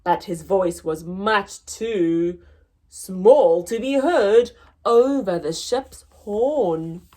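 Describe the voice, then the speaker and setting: A woman reading a picture book aloud to young children as a story time host, expressive and deliberate, drawing out words. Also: mid-range voice.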